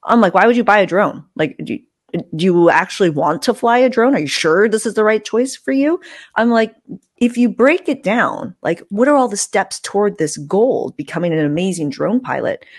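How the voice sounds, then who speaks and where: A woman's voice: mid-range voice, lively intonation, moderate pace. A woman hosting a podcast in casual, chatty style, acting out little asides as she talks on a close desk mic. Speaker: podcast host interviewer. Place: indoor room on a video call. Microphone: close desktop mic with furry windscreen.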